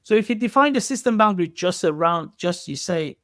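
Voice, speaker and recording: A man's voice: high-pitched, animated, brisk, fairly bright tone, no echo, clean studio sound. A man speaking as an expert podcast guest, explaining technical ideas in a hesitant, circling way with frequent self-corrections.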